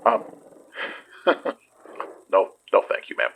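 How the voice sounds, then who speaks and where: A man's voice: slow, close to an everyday voice. An actor playing a polite, practical and uneasy male companion in a naturalistic audio drama. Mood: nervous. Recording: muffled, band-limited audio.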